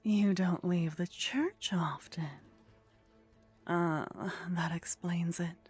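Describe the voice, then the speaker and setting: Slow, breathy vampire seductress, played by a woman. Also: on the low side, fairly even intonation, soft background music. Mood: teasing, flirtatious. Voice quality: soft, breathy. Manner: slow, teasing, condescending, often dropping to a whisper. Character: haughty, flirtatious female vampire, teasing and worldly. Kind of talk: intimate ASMR roleplay. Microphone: close microphone.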